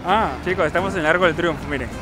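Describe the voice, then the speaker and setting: A man's voice: fairly high pitch, racing, loud music behind the voice, a loud crowd. A man in his thirties chatting casually while walking outdoors, camera held at arm's length, upbeat and laughing often. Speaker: travel vlogger. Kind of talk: casual travel vlog chat. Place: outdoors on busy city streets.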